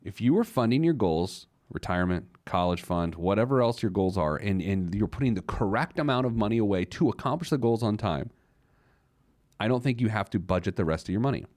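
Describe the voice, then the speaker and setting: Male American talk host, low voice with animated swings, close dry sound, conversational and emphatic. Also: brisk, no echo. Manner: emphatic, acts out imagined objections and answers them. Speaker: host of a personal finance show. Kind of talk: conversational personal finance monologue.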